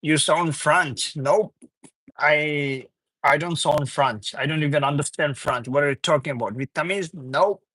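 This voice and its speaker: A young man from Bangladesh with a slightly high voice, chatting loosely on a livestream. He chuckles often, reads viewers' comments aloud and teases them back. His voice sounds dry and close, with no room around it. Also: a little slow, a bit bright, dry recording. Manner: loose, repetitive, teasing banter. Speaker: livestream host. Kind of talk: casual live chat with viewers.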